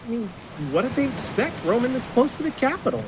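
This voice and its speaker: A man playing a cheeky, excitable, nosy young friend with a lively, teasing manner in an audio drama. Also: extremely high pitch, fast. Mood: wry. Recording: noisy waves and ship ambience.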